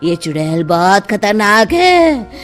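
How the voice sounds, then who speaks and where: A woman's voice: medium pitch, big pitch swings, slow. A woman voicing a grave old male tantric holy man in a Hindi cartoon, solemn and portentous. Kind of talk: cartoon voice acting.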